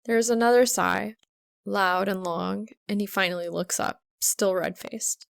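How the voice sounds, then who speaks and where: A woman's voice: mid-range voice, fairly even intonation, measured pace, vocal fry, no echo. A woman narrating scripted fiction as an audiobook reader.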